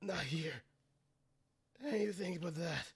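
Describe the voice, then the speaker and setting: A man's voice: on the high side, normal speed, pitched above the performer's own voice, close to an everyday voice. A man voicing a defiant mecha pilot under heavy fire, in the dramatic style of a video game battle. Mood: panicked shouting.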